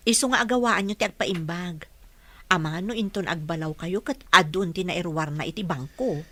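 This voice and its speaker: A woman's voice: on the low side, moderate pace, pushed and bright. A woman playing a caring, respectful nurse in a radio drama, polite and encouraging. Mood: respectful, concerned.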